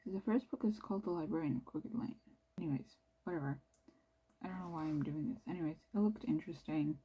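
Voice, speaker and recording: A young woman from Canada with a Canadian accent and a fairly low, mellow voice. She keeps her talk hushed and near-whispered. Her delivery is loose and rambling, full of "like" and trailing asides, with a husky edge. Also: fast, poor audio. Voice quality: low-ish voice. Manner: talking quietly, near-whispered; rambling, filler-heavy with "like". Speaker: book vlogger. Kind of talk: casual chat.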